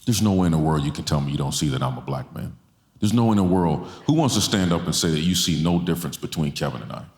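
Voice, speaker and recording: Emphatic deep-voiced man in a roomy church. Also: animated, fast, a little mellow. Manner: emphatic, repeats lines for stress. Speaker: guest speaker. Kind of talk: passionate church talk to an audience. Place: church stage before an audience. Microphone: handheld mic. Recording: roomy church hall sound.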